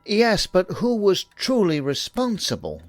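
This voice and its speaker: Man acting a fussy juror, high animated voice. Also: measured pace, no room sound, faint music behind, exaggerated voice. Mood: earnest debate. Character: fussy, earnest juror struggling with a verdict. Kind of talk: audio drama acting.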